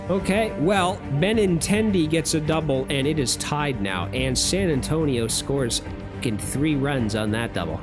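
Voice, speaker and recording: A young man with a somewhat high voice, hoarse from shouting, calls a baseball video game from a small booth at home. He talks in lively bursts, curses freely and thinks aloud between plays. Game music plays clearly underneath. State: losing his voice. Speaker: gaming YouTuber. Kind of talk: excited play-by-play over a video game. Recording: game music playing behind.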